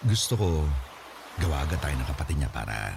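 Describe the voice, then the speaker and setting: A man playing a devoted and playful young groom-to-be in a radio drama, warm, teasing and sweet-talking. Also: a bass voice, sing-song, brisk, creaky, background noise, exaggerated voice. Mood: loving. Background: background music.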